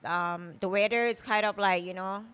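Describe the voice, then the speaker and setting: A Thai woman in her thirties, speaking English with a Thai accent, talks easily in a relaxed interview. Her voice is smooth and clear at an ordinary middle pitch. She strings her thoughts together with plenty of "like" and "you know". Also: moderate pace. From Thailand. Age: 30s. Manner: chatty and loose, full of "you know" and "like". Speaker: chef and guest. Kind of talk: casual interview.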